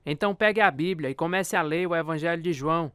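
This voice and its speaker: An actor playing a firm, devout prisoner who speaks in a direct, exhorting manner. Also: male voice, on the high side, fast, fairly bright tone, lightly acted. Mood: firm, earnest. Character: firm, devout male prisoner urging faith.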